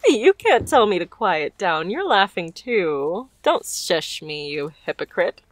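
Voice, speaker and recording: Woman doing a flirty mafia boss lady for asmr roleplay, low voice, takes her time, teasing and smooth. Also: big pitch swings, no room sound. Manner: teasing, smooth-talking, unhurried.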